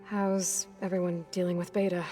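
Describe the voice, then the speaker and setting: An actress playing a determined, weary young warrior heroine in a video game, speaking in a low-key, matter-of-fact way. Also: female voice, little pitch movement, moderate pace, mellow, faint music behind, lightly acted. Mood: weary, friendly. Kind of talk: video game cutscene acting.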